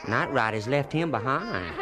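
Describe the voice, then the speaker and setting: An actor playing a rough, wheedling backwoods trader in a radio drama, with an insistent drawl. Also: male voice, medium pitch, expressive, moderate pace, lightly acted. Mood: defensive. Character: rough, wheedling backwoods horse-trading tracker.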